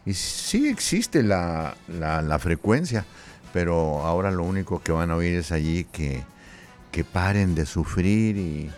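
A man's voice: deep voice, darkish tone, slight background noise. An older man with a Mexican accent hosting a live show in a jovial, folksy, chatty way. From Zacatecas, Mexico. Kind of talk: casual chat with audience.

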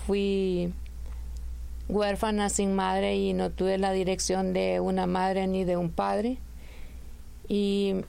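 Middle-aged woman from Honduras, interviewee, halting delivery, flat gravelly voice. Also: mid-range voice. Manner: slow, halting, many restarts. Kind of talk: radio interview.

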